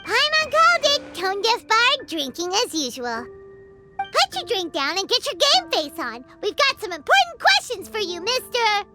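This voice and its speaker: A woman voicing a tiny, cheeky floating fairy companion with a squeaky, childlike voice and a brash manner in an anime game. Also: huge pitch swings, moderate pace, exaggerated voice. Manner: brash, third-person self-reference, teasing. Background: background music.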